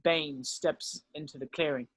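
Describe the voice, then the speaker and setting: A man's voice: on the high side, steady tone, unhurried, a bit bright, no echo. A man reading stage directions aloud as the narrator of a script read.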